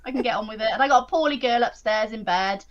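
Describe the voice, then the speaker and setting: A mother speaking as a guest on a live stream over a video call, chatting in a warm, jokey way. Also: fairly high pitch, fast. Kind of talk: casual chat on a video call. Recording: dull lo-fi call audio.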